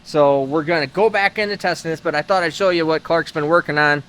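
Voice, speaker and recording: A man's voice: fairly high pitch, fairly quick, a bit bright, clean tone. A man hosting a car vlog, talking casually in a workshop, rambling with lots of filler words. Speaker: host of a car-building channel. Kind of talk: casual garage vlog talk.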